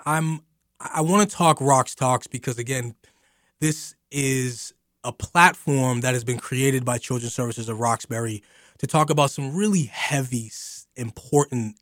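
A man hosting a studio radio interview, speaking haltingly with many repeated words and uhs. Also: mid-range voice, no room sound. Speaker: radio host. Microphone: studio microphone.

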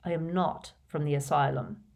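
A woman voicing an elderly male wizard headmaster who speaks in a patient, measured, courteous way, read as part of an audiobook. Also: lowish voice, very animated, darkish tone, full character voice. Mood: calm. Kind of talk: audiobook character voice.

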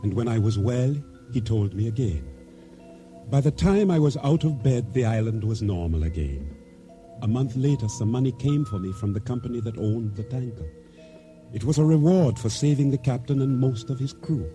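An actor playing a reflective, humble island boatman who tells his story in a measured radio drama narration. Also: mid-range voice, expressive, warm tone, lightly acted. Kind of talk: dramatic first-person radio play narration. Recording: radio drama with music underneath.

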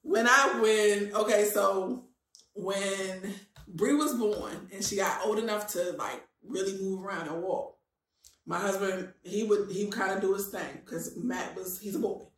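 A woman chatting casually about her kids, telling animated family stories and acting out dialogue. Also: mid-range voice, measured pace, echoey room, distant mic, poor audio. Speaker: mom on a podcast panel. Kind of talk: casual chat.

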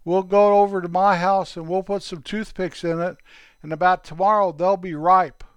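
A man's voice: high-pitched, steady tone, moderate pace, clean tone, no room sound, lightly acted. A man voicing Forky, an eager, cheerful young boy who knows the tricks and keeps calling his pal by name. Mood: cheerful, proud. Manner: ends nearly every sentence with his pal's name.

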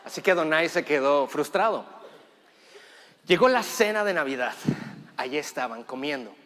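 Animated man telling a comic story. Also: a little high, moderate pace, in a large hall, a little hiss or hum. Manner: animated, laughing, takes audience suggestions. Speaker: improv storyteller. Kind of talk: improvised comedy storytelling.

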